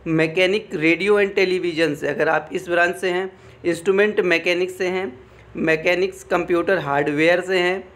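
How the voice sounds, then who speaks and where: A man's voice: high-pitched, steady tone, normal speed, fairly bright tone. A man giving an informal explainer, reading out official text and commenting, often repeating phrases and adding check-in tags. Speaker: exam information presenter.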